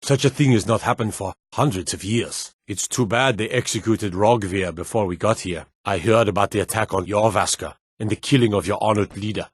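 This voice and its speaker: Gruff fantasy game town guard, lowish voice, bit husky, dry close sound. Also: male voice, moderate pace, few pauses, dry recording. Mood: awed, respectful. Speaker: video game voice actor. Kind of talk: fantasy game character barks.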